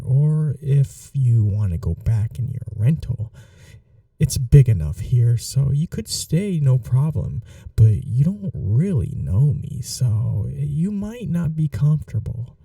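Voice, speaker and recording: Man acting a very soft, dark-voiced gentleman vampire. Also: medium pitch, sing-song, gravelly, no room sound, recorded from a distance, full character voice. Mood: soft, considerate. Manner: unhurried, polite, soothing, slightly formal. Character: courteous, caring gentleman vampire host, gently old-fashioned. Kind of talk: intimate ASMR roleplay.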